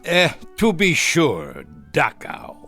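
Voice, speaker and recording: An actor playing an older former Nazi officer posing as a tourist in a radio drama, evasive and self-pitying, stammering and repeating words. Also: male voice, a little high, wildly swooping pitch, slow, pushed and bright, soft background music, exaggerated voice. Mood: probing, nostalgic.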